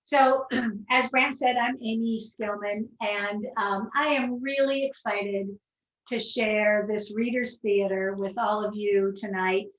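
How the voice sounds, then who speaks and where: A woman's voice: medium pitch, lo-fi sound. Echoey-sounding woman moderator introducing a program. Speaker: moderator, facilitator. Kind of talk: live introduction to a group reading. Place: room in a home, with a group. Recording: echoey room pickup.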